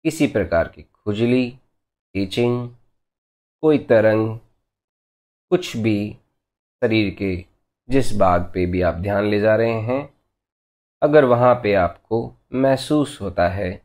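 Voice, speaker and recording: A man leading a guided meditation as a teacher, giving gentle, unhurried instructions in short phrases with long pauses between them. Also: on the low side.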